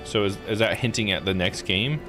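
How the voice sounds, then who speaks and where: A man's voice: on the low side, a little fast. A man streaming a visual novel, thinking aloud in a laid-back, jokey way as he plays. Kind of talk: casual game commentary with read-aloud text. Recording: voice over game music. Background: video game music.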